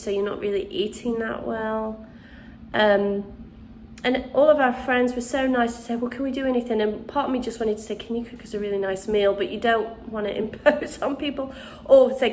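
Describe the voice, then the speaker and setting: A woman's voice: on the high side, a little mellow, a little hiss or hum. Congested woman chatting casually. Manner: rambling, chatty, with restarts and ums. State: congested from the flu. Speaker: channel host, witchcraft crafts teacher. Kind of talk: casual chat to camera.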